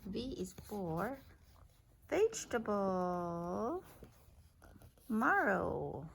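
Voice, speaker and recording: A woman's voice: mid-range voice, big pitch swings, drawn-out words, vocal fry, a little hiss or hum. A woman reading an alphabet picture book aloud as a mother to a child, naming words one by one in an instructive way with casual asides.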